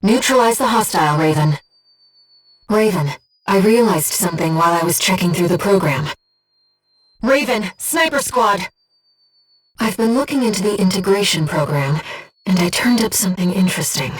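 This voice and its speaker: A woman voicing a calm, earnest, gentle disembodied female guide for a pilot in a video game, with measured, precise delivery. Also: medium pitch, recorded from a distance, lightly acted, low-quality recording. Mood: calm briefing. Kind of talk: scripted video game voice acting, mission support.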